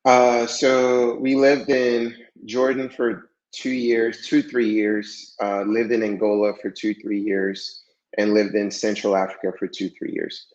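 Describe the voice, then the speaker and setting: A man guest in a casual podcast interview, talking in a relaxed, hesitant way with many fillers, French his first language. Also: lowish voice, measured pace. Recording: dull lo-fi sound.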